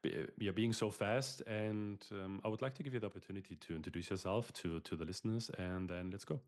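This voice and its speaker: Low-voiced halting podcast host. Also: male voice. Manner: halting, searching for words, frequent repeats. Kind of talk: casual interview.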